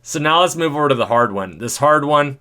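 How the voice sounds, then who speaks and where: A man with a deep voice, talking casually and thinking aloud as he walks through an editing tutorial, chuckling now and then. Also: lively intonation, fairly quick, bright, forceful tone. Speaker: video creator.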